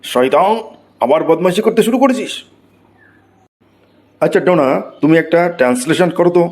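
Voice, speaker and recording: A man voicing an excitable, exasperated schoolteacher who scolds and exclaims in a comic cartoon. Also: fairly high pitch, fairly quick, lightly acted. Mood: scolding. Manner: scolding, exclamatory, mock-praising. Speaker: cartoon voice actor. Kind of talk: comic Bengali cartoon dialogue.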